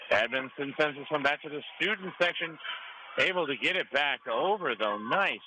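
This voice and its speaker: A man's voice: fairly high pitch, animated, normal speed. A man calling live volleyball play-by-play for radio in clipped short calls that rise on big plays. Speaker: sports play-by-play announcer. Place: school gymnasium. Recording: noisy live gym broadcast. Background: gym ambience, cheering student sections.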